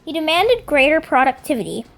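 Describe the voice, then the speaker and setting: A woman's voice: high-pitched, very animated, a little fast, gravelly, a bit bright, heavily acted. A woman voicing an earnest female rebel robot, solemnly reading dramatic logs of an uprising. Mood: earnest, reflective. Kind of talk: scripted dramatic log narration. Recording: music behind the voice.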